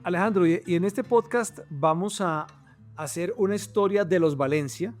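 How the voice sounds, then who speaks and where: A man's voice: high-pitched, moderate pace, faint music behind. Hesitant man co-hosting. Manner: hesitant, with many fillers and restarts. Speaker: podcast co-host. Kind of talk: casual podcast talk.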